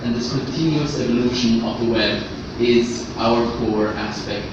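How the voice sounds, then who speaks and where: Halting man presenting in an echoey room. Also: mid-range voice, steady tone. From Austria, born in Egypt. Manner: hesitant, halting delivery with repeated words. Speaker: conference speaker, web standards specialist. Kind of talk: workshop presentation. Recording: echoey, noisy room, distant muffled microphone.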